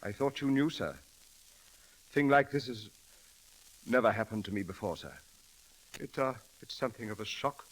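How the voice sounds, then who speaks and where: An actor playing a dignified, mild-mannered valet in old radio drama style, speaking politely and hesitantly. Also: male voice, medium pitch, animated, natural voice, rough recording. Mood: flustered, shaken. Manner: formal, hesitant, polite, halting. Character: deferential, dignified, mild-mannered English gentleman's valet, middle-aged. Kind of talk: 1930s radio acting. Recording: hissy old radio broadcast.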